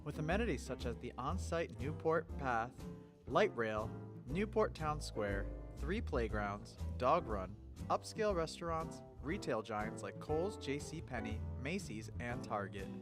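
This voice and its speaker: A man's voice: mid-range voice, very animated, unhurried. A man reading a scripted real estate ad in an upbeat, salesy way. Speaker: ad announcer. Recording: ad with loud music bed.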